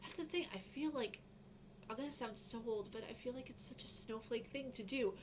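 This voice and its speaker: A young woman with a fairly low voice, chatting casually on a gaming news stream and reading out news, rambling with lots of fillers. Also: brisk, noisy background. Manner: chatty, rambling, frequent fillers and self-interruptions. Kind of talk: casual live-stream chat.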